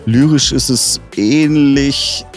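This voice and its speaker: A man's voice: mid-range voice, slow pace, faint music behind. A man as a rapper interview guest, chatting casually and enthusiastically with slang and frequent restarts. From Munich.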